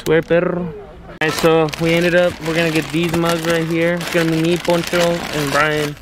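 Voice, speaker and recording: A man's voice: fairly high pitch, monotone, moderate pace, a loud crowd. A young man doing casual, joking vlog banter full of slang and catchphrases. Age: young adult. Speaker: vlogger. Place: store, parking lot and car. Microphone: handheld camera at arm's length. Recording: noisy handheld vlog audio.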